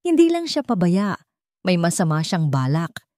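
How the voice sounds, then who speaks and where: A woman's voice: on the low side, very animated, normal speed, mellow, no room sound, clean studio sound. A woman voicing a scheming, melodramatic young woman making tearful, accusing outbursts in an audio drama.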